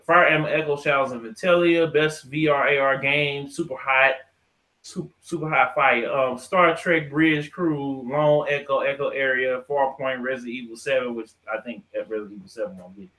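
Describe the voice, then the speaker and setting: A man hosts a casual podcast in a bright, forceful voice. His delivery is informal and full of slang, moving between chat and read-out lists at an average pitch for a man. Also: fairly even intonation, normal speed, poor audio. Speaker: podcast host.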